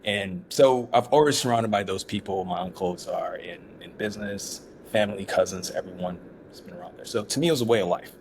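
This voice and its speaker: A man from Ghana, a startup founder, talking as a guest in a casual interview, halting and restarting often with many fillers. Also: lowish voice, slight background noise.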